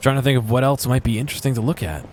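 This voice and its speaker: A man's voice: medium pitch, animated, very fast, darkish tone, slight background noise. A man giving casual commentary while exploring a video game, musing and muttering to himself.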